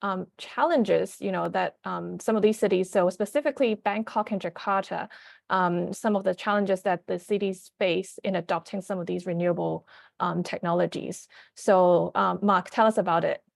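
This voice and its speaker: Creaky-voiced woman moderating a panel. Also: on the low side, steady tone, moderate pace. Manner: casual, many "you know" fillers.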